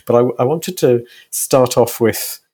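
Guy doing a relaxed podcast interview, husky voice, kinda stop-start with his questions. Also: medium pitch, a little slow. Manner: hesitant, repeats words while framing questions. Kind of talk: casual conversational interviewing.

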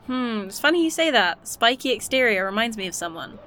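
A woman's voice: fairly high pitch, sing-song, a little fast, a bit bright, a little hiss or hum. A woman playing a blunt, wisecracking 1980s woman. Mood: joking. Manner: blunt, joking, inquisitive. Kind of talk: indie audio drama acting.

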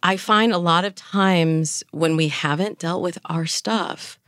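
A woman's voice: fairly low pitch, takes it slowly, a bit bright, smooth, no room sound, studio quality. A woman speaking as a guest dating coach in a podcast interview, explaining advice and acting out imagined inner thoughts as examples.

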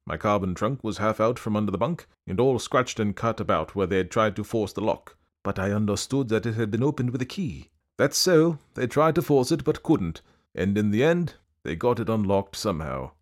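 A harried young gentleman in his thirties , as a male narrator plays him, pressing his points with earnest, exasperated emphasis. His voice moves widely in pitch, lively and animated, in a storybook reading. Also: moderate pace, natural voice.

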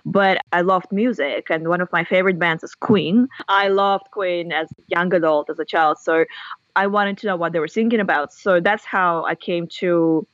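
A woman's voice: lowish voice, moderate pace, dry recording, dull audio. A woman in her thirties from Russia with a Russian accent, telling stories animatedly in an interview and laughing often. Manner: animated storyteller, laughs often, restarts phrases. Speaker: guest author. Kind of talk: casual interview chat.

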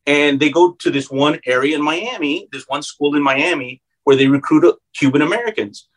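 A man from South Texas chats as an interview guest in a slightly high voice. He tells animated stories, often restarting and repeating words as he builds to the point. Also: fairly quick, poor audio. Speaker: guest actor. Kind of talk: conversational interview storytelling.

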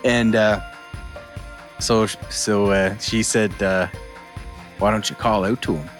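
A man co-hosting a casual podcast, telling a story with lots of fillers and laughter, sometimes dropping to a hushed voice. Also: fairly low pitch, fairly even intonation, takes it slowly, faint music behind. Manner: laughs often, rambling with many fillers, drops to hushed tones. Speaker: podcast co-host.

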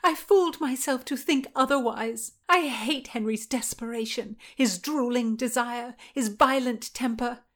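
Woman voicing high-pitched, impassioned young Tudor lady Anne Boleyn. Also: measured pace, few pauses, a bit bright. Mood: defiant. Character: Anne Boleyn, frightened, defiant, passionate young pregnant lady. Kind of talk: period audiobook character voice.

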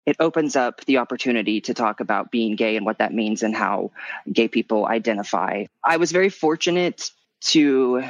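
A man in his thirties from Missouri with a light, higher voice, talking candidly as an interview guest and often swooping up in pitch when animated. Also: moderate pace, no room sound, poor audio. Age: 30s. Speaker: podcast guest, memoir author.

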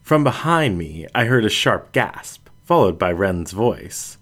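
Guy reading an audiobook story in first person, really deep voice, takes it slow. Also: sing-song, a bit bright. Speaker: audiobook narrator.

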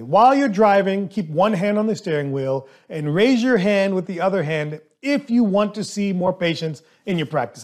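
A man who speaks English as a second language, hosting a solo podcast as a dentist and coaching his listeners in an emphatic, animated way. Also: fairly high pitch. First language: not English.

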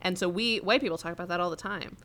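A woman's voice: on the low side, quick pace, a bit bright. A woman hosting a podcast in casual chat, blunt and wry, swearing freely. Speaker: podcast host.

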